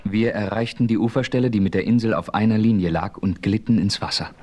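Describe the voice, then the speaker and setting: A man's voice: lowish voice, steady tone, fast, mellow, close to an everyday voice. An actor playing a resolute, commanding Western adventurer who narrates his story in a 1970s radio play. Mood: measured storytelling. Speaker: narrator and lead character. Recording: faintly noisy old radio play recording.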